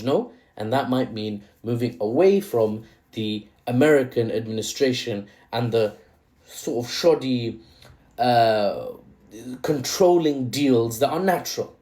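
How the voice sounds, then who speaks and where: A man's voice: medium pitch, dark tone. A man with a mixed British accent giving chatty, opinionated political commentary with rhetorical asides and slang. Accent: British, with a mixed Indian-tinged lilt. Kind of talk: casual commentary video.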